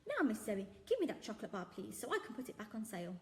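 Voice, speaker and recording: A woman voicing a big, jolly, kind-hearted shopkeeper who fusses warmly and scolds softly in a storybook reading. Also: mid-range voice, very animated, quick pace. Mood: kindly. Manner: warm, fussing, softly scolding. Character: big jolly kindly male shopkeeper, sentimental.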